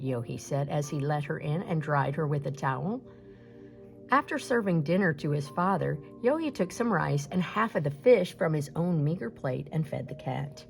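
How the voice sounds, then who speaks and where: A woman reading a folktale aloud as a storyteller, in an expressive scripted narration style. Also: lowish voice, vocal fry.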